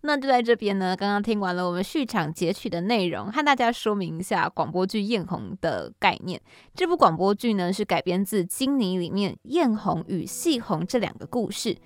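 A woman's voice: mid-range voice, very animated, moderate pace, no room sound. A woman with a Taiwanese Mandarin accent, hosting a podcast conversationally. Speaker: podcast host and scriptwriter.

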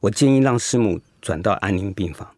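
A man acting in a radio drama as a gentle, sympathetic doctor who explains and consoles in a measured way. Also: fairly low pitch, animated, no room sound, studio quality. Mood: gentle, regretful. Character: gentle male doctor, calm, sympathetic hospital director.

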